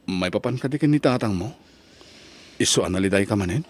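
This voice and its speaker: A man playing a shy, tender young college student in love, speaking softly and hesitantly in an Ilocano drama. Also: on the low side, animated, fairly quick, slightly rough. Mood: concerned. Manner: soft, hesitant. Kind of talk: Ilocano radio-style drama acting.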